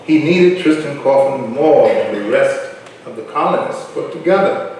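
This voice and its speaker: A man's voice: mid-range voice, lively intonation, moderate pace, a little mellow, a little hiss or hum, natural voice. A man performing as the narrator of a historical play in a staged reading, telling the story as scripted narration. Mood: storytelling. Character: male narrator of a colonial island history play. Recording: very echoey hall, distant mic.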